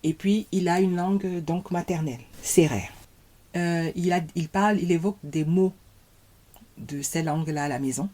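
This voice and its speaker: A woman, a podcast guest speaking in a thoughtful, halting way as she searches for words. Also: fairly low pitch, warm tone. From Cameroon. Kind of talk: casual conversation.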